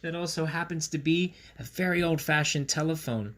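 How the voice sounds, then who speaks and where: A man's voice: on the high side, normal speed, fairly bright tone. A man reading aloud and chatting to children in a playful, silly, theatrical way. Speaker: children's story reader and host. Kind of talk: read-aloud and chat for children. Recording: roomy echo, clear background noise.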